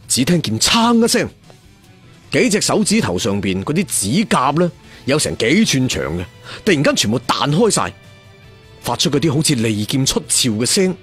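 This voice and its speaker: A man telling a martial arts story in an animated, conversational, dramatic way. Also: mid-range voice, slightly rough. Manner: animated, conversational, with spoken sound effects.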